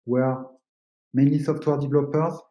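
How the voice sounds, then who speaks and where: Male webinar presenter, mellow tone, halting explanatory delivery, roomy echoing sound. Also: mid-range voice, little pitch movement, dull audio. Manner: explanatory, halting non-native English phrasing. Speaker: webinar presenter, company co-founder.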